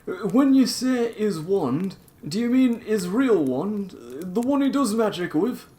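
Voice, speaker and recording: A man voicing a big, gruff half-giant man who sounds flustered and hesitant. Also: high voice, slow, pitched above the performer's own voice. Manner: loud, lively, hesitant and flustered.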